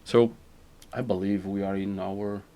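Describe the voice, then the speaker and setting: A man's voice: on the low side, level tone, a little slow, dark tone. A Portuguese-accented man, a native Portuguese speaker, hosting a casual podcast interview in English, often repeating words as he searches for them. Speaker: podcast host.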